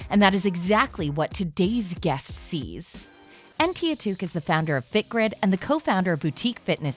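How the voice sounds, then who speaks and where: A woman hosting a podcast, chatty and upbeat as she interviews a guest. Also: mid-range voice. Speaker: podcast host.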